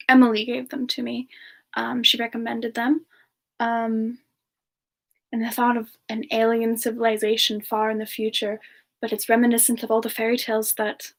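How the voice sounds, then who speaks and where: A woman playing a gentle, romantic demon woman, soft-spoken and hesitant, answering questions in a semi-ASMR roleplay. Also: on the high side. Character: gentle, romantic female demon reborn from a past life. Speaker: streamer answering viewer questions in character.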